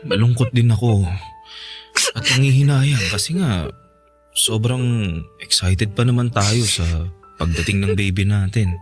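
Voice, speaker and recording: A man's voice: on the low side, measured pace, pauses often, soft background music, close to an everyday voice. A man playing an earnest, polite young Filipino partner in a radio drama. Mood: worried, sad. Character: polite, earnest young boyfriend, later worried husband.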